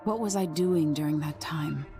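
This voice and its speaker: An actress playing a young exiled empress in a video game, speaking in a measured, introspective, serious way. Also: female voice, low-pitched, over music. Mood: brooding. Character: brooding young exiled empress, determined and self-questioning. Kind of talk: video game cutscene monologue and dialogue.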